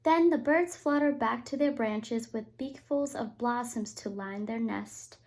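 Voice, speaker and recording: A woman teacher reading a picture book aloud to young children, expressive and often turning to talk to them directly. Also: on the high side, unhurried. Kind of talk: read-aloud storytime with asides to children.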